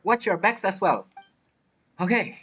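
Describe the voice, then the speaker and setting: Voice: medium pitch, expressive, measured pace, fairly bright tone, slightly rough. A game streamer giving casual, reactive let's-play commentary in a chatty way, often repeating phrases.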